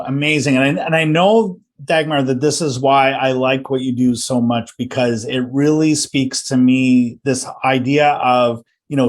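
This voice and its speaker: Enthusiastic male podcast host. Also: medium pitch. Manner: friendly, enthusiastic, rambling with restarts. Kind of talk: podcast interview.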